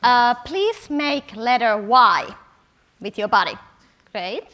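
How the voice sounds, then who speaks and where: A woman whose first language is Finnish, giving a playful conference talk and leading the audience in a warm-up on stage. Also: fairly high pitch, big pitch swings, slow. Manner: playful, upbeat, instructing the audience. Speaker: conference speaker. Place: large conference hall on stage. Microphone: stage mic.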